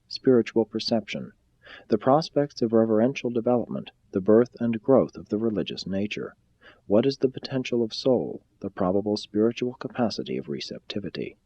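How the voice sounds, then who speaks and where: A man giving a formal scripted reading of a spiritual text in a measured, deliberate, solemn way. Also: fairly low pitch, dark tone, slightly rough. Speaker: audiobook-style reader. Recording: slightly dull, muffled sound.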